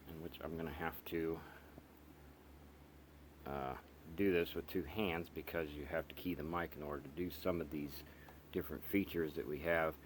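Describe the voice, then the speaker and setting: A man casually walking through the features of a radio he is reviewing, explaining step by step with many pauses and restarts. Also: a bass voice, slight background noise. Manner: halting, lots of ums and restarts, explains step by step. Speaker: radio hobbyist reviewing gear. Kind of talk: casual product walkthrough.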